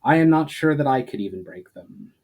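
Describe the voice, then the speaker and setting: A man voicing a grave, watchful elf warrior-mage in a storybook reading, speaking formally, grimly and deliberately. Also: mid-range voice, lively intonation, darkish tone.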